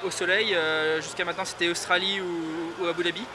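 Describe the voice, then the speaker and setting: Young male athlete, casual interview, high register, rapid speech. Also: little pitch movement, background noise. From France.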